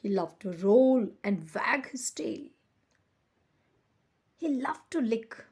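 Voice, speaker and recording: Woman, high voice, slightly husky and breathy, expressive children's read-aloud, deliberate emphasis. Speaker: storyteller reading aloud for children.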